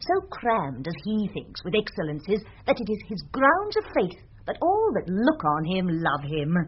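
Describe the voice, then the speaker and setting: A woman's voice: medium pitch, huge pitch swings, measured pace. An actress playing a sly, witty, mischievous serving woman in British radio Shakespeare from the 1970s. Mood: scornful. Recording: dull, noisy old radio recording.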